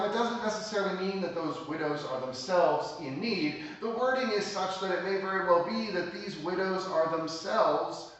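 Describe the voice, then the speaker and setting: A man pastor preaching a sermon, explaining scripture in a measured, plain teaching tone. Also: a little high, a bit bright, dull audio. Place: church sanctuary. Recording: echoey church hall, mic at a distance.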